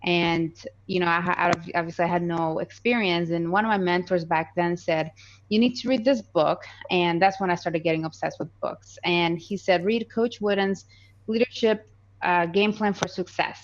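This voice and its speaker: A woman in her thirties speaks English with a Colombian accent, her first language being Spanish. Her voice sits low for a woman and she talks earnestly, stopping and restarting often. The sound is dull and lo-fi. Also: normal speed. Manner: earnest, many fillers and restarts. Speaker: podcast guest. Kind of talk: casual interview.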